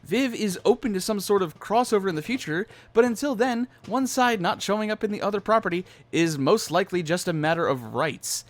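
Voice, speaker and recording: A man's voice: sits high, expressive, a little fast, hardly any pauses. A man co-hosting a fan recap, giving opinionated, casual commentary peppered with swearing. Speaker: co-host of a fan recap show. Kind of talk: animated fan commentary with ranting.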